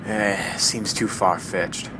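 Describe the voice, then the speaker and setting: A man playing a matter-of-fact, measured amateur sleuth in a period radio mystery. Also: fairly even intonation. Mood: measured, deliberating. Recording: train rumble behind the voice.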